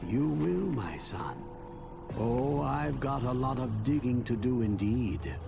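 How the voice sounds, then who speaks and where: An actor playing a cryptic, knowing old gravedigger in a video game. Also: male voice, medium pitch, expressive, slow, creaky, music in the background. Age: older.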